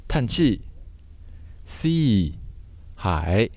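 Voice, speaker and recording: Slow, lowish-voiced male vocabulary teacher. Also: sing-song, a little mellow. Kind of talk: scripted word-by-word vocabulary explanation.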